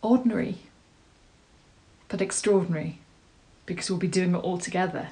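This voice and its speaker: A woman's voice: fairly low pitch, fairly even intonation, moderate pace, dark tone, natural voice. An actress performing a dramatic monologue as a nervous, tender woman, earnest, hesitant and self-deprecating. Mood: tender, hopeful. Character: nervous, tender, rambling woman proposing marriage.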